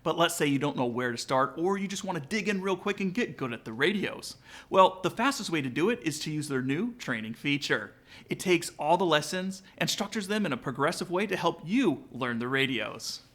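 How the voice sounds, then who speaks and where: A man's voice: on the high side, animated, a little fast, a bit bright. A man narrating a scripted but casual tutorial as a vlog host, speaking directly to viewers. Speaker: aviation vlog host, student pilot. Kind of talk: upbeat scripted tutorial narration with sponsor read.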